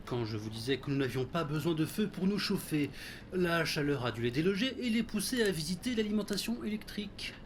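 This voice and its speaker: Man playing a fussy, higher-voiced formal butler. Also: big pitch swings, fairly quick, slight background noise. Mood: prim, wary. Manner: ornate, deferential, prim and gently disapproving. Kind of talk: French audio drama acting.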